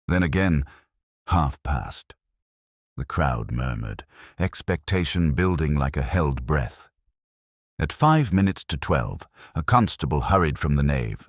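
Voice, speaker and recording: Very deep-voiced male story narrator. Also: breathy edge, no room sound, clean studio sound. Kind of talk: scripted audio mystery story narration.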